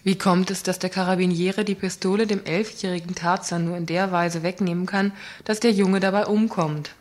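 A woman's voice: fairly low pitch, fairly even intonation, normal speed. A woman reading a scripted report on a community radio news program, earnest and questioning, with small slips.

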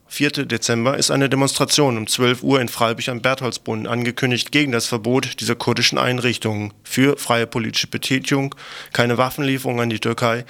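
A male radio news presenter reads from a script. His voice sits in a middle pitch and has a gravelly, creaky edge. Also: pushed and bright.

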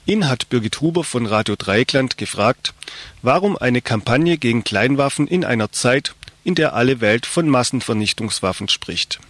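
A man's voice: mid-range voice, animated, moderate pace, a bit bright, dry recording. A man reading a scripted news report as a radio presenter.

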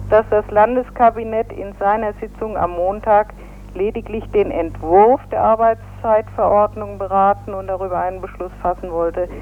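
A woman's voice: mid-range voice, a little hiss or hum. Woman speaking formally over a tinny phone line. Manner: formal, measured, legalistic phrasing. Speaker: union press spokesperson. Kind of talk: phone interview statement. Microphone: telephone.

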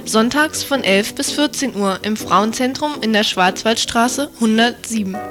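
A woman's voice: a little high, unhurried. A woman reading a scripted radio promo as an announcer. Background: music.

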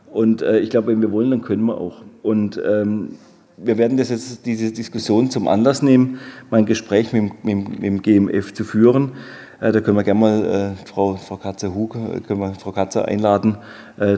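Mellow, halting man in echoing hall. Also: on the low side. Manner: conciliatory, halting, repeats words. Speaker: mayor chairing a committee. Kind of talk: spontaneous meeting reply. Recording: echoey meeting hall.

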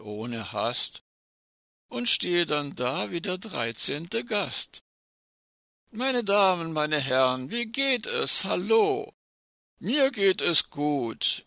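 A man plays a sly personification of danger, a falsely courteous guest with a creaky, bright-edged voice. The rhymed verse comes theatrically, with wide swings in pitch, and each phrase is savoured with menacing politeness. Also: dry recording, clean studio sound, exaggerated voice. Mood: sly, hushed, then falsely cheerful. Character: personified nuclear residual risk, sinister, falsely courteous uninvited guest. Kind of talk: theatrical recitation of a rhymed satirical poem.

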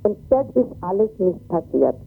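A distressed woman giving an agitated, halting eyewitness account in an interview, often repeating words and tagging her sentences with "ne". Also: mid-range voice, very animated, vocal fry, breathy edge, narrow, tinny audio, faint music behind. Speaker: interviewee, volunteer refugee worker.

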